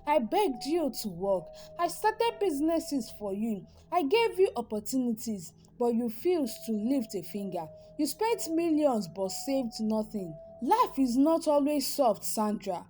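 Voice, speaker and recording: A woman voicing a remorseful, earnest husband in a told story, reasoning softly and pleadingly. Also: on the high side, big pitch swings, unhurried, few pauses, faint music behind. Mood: earnest, pained. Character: remorseful, earnest husband lecturing his spendthrift wife.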